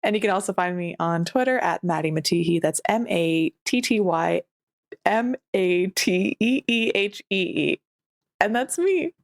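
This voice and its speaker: A woman's voice: medium pitch, animated, a little slow, no room sound, studio quality. A woman who plays on a podcast, casually telling listeners where to find them. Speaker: podcast cast member. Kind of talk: casual chat, plugging own shows.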